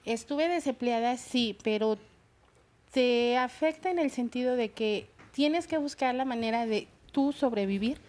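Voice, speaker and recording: A middle-aged woman telling her own story as a talk show guest, hesitant and halting. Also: fairly high pitch, darkish tone. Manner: hesitant, many fillers and restarts. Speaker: guest, bilingual secretary. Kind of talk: personal testimony on a talk show.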